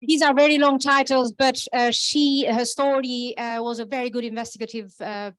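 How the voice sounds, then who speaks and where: A woman's voice: a little high, steady tone, moderate pace, no echo. Woman presenting awards, dry sound. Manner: earnest, hesitant with fillers. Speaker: jury member presenting awards. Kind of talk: awards announcement.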